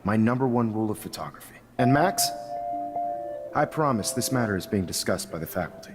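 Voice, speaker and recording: Composed middle-aged teacher, acted by a man, lowish voice, measured and probing, naturalistic game drama. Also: lively intonation, over music, lightly acted. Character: composed male photography teacher, smooth, gently probing. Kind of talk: naturalistic video game acting.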